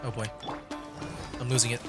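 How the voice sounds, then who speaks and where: A man's voice: mid-range voice, flat delivery, normal speed. A man giving casual live commentary as he streams a video game. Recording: game music behind voice. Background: video game music.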